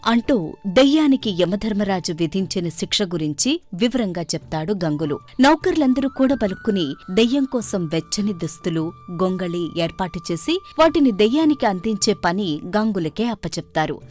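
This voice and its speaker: A woman's voice: medium pitch, a little mellow. A woman storyteller reading scripted narration for a children's moral story.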